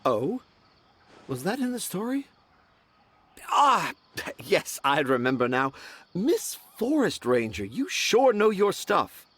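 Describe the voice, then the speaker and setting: A man's voice: high-pitched, wildly swooping pitch, takes it slowly, long pauses, a bit bright, a little hiss or hum, exaggerated voice. A man voicing a grandiose, stubborn young dreamer in a video game, speaking in a florid, confident, theatrical way. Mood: agreeable. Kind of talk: video game character acting.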